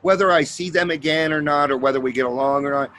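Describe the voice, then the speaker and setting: A man's voice: on the high side, quick pace, fairly bright tone. A man hosting a recovery talk show, speaking in a heartfelt, conversational way. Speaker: podcast host. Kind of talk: casual interview chat.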